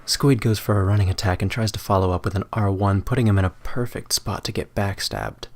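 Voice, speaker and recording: A man's voice: fairly low pitch, lively intonation, brisk. A man reading his own fan fiction as a dramatic, mock-serious, theatrical narrator.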